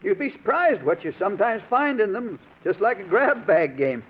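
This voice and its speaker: A man's voice: sits high, sing-song, normal speed, husky, exaggerated voice. An actor playing a folksy, well-meaning working-class deliveryman in a radio drama, hesitant and colloquial. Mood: eager, helpful. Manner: hesitant, colloquial, simple and eager. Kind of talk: 1940s radio character acting. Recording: tinny, hissy old radio broadcast.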